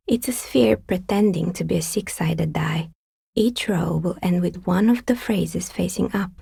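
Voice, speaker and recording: An actress voicing a dry, sceptical woman dicemaker in a video game, with a soft, breathy, husky voice and a wry, understated delivery. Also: lowish voice, no echo, full character voice. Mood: wry, insistent. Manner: wry, understated, matter-of-fact. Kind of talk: video game character dialogue.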